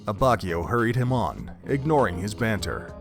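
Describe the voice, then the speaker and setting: A male narrator tells a dramatic story from a script. His voice sits on the low side, in the style of audio drama narration. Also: big pitch swings, moderate pace, gravelly, soft background music.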